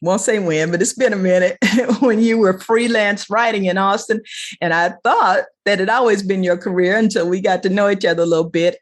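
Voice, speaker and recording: A woman hosting a conversational interview show, chatty and encouraging, with affirming asides. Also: on the low side. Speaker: show host.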